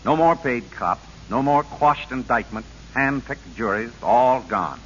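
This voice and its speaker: A man's voice: mid-range voice, lively intonation, a little slow, a bit bright. An actor playing an earnest, resolute lawman who speaks sternly and emphatically in a 1940s radio drama. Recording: hissy, dull old radio broadcast.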